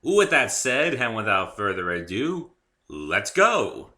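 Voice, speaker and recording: Male amateur narrator, low and very sharp-toned, theatrical scripted delivery with emphatic phrasing. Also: big pitch swings, slow. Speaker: amateur author reading own story. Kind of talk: dramatic reading, scripted narration.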